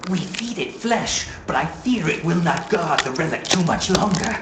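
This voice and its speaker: An actor playing an uneasy, deferential servant of a dark lord in a fantasy game, with a gravelly voice. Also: male voice, a little high, expressive, normal speed, close to an everyday voice. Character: anxious, deferential male minion serving a dark lord. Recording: echoey room with background noise.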